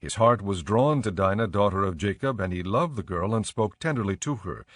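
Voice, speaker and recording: A man narrating a dramatized audio Bible in a measured storytelling manner. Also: on the low side, lively intonation, a bit bright, no echo, studio quality.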